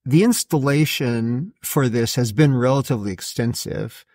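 A middle-aged man narrating a hands-on how-to in a deliberate, matter-of-fact way. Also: medium pitch, darkish tone. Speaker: host of a DIY boat install video.

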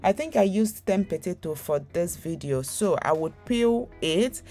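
This is a young woman hosting her own cooking channel, talking through a recipe. Her voice sits low and sounds warm and mellow. She rambles casually, stopping to correct herself and filling gaps with fillers, while faint music plays behind her. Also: animated, a little slow. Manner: chatty, rambling, many fillers and restarts.

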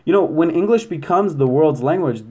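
A young man in his twenties, a podcast guest, explaining things quickly and animatedly over a video call. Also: a little high, animated, a little mellow. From United States, Persian-speaking family. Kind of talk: casual podcast conversation.

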